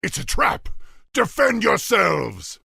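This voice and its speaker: A man playing a stern, thoughtful young orc war chief in a fantasy video game, with a deep, gravelly, husky voice. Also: huge pitch swings, takes it slowly, sharp tone, dry recording, raised voice, studio quality, exaggerated voice. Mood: commanding.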